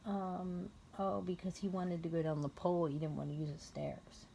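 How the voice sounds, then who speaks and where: A woman reading a children's story aloud, pausing to explain things simply and patiently to a young child. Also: lowish voice, flat delivery, vocal fry, dark tone, a little hiss or hum, low-quality recording. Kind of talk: read-aloud story narration with casual asides to a child.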